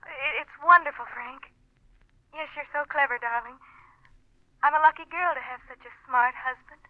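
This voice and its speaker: Casting: sweet trusting young wife, high, hesitant, tinny telephone sound, vintage radio. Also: female voice, moderate pace, no echo. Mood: hesitant, submissive. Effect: telephone filter. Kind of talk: old-time radio acting.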